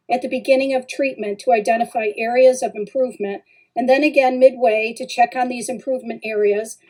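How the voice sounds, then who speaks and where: A woman's voice: on the high side, dark tone, low-quality recording. A woman who works as a trauma healing facilitator, explaining things steadily on a podcast. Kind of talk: podcast talk.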